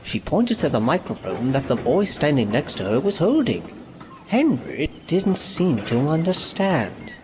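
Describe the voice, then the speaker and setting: A man's voice: a little high, huge pitch swings, measured pace, noisy background. A man narrating a scripted children's-style story as a storyteller. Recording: loud music behind the voice.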